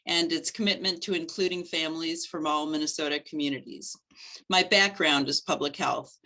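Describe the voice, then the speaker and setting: Middle-aged woman coordinator, low and bright, clear steady delivery, webcam at home. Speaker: study coordinator. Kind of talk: scripted presentation. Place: living room at home.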